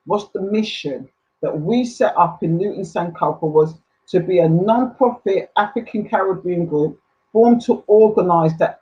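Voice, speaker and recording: A woman's voice: on the low side, a little mellow. A woman giving an enthusiastic, semi-formal presentation as a community group speaker on an online call. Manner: enthusiastic, emotive. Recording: dull lo-fi online call audio.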